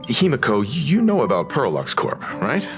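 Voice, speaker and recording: An actor voicing a courteous, steady gentleman who speaks in a polite, measured and formal way. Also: mid-range voice. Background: game music.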